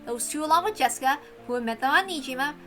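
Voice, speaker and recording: A woman's voice: high-pitched, animated, fast talker, fairly bright tone, faint music behind. A woman reading a visual novel aloud in a dramatic storytelling style. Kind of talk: dramatic story narration with character voices.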